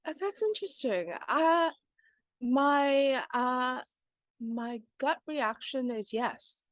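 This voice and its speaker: A woman from Vietnam, a writer and panel guest, speaking thoughtfully and haltingly in a conversational discussion. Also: sits high, animated, dry recording.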